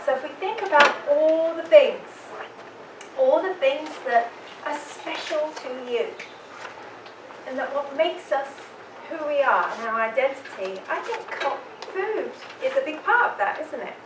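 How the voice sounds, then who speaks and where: A woman's voice: high voice, lively intonation, unhurried, background noise. A woman with a London English accent, moderating a panel and chatting with the audience, asking them questions. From England. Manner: chatty, engaging, asks the crowd questions. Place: event room with audience. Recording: roomy hall, voice from some distance.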